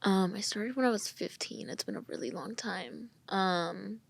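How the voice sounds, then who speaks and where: A woman's voice: medium pitch, moderate pace, gravelly, no room sound. A woman in her twenties from California, a guest on a podcast, chatting casually with frequent laughs and lots of "like". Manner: bubbly, giggly, lots of "like". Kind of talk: casual chat.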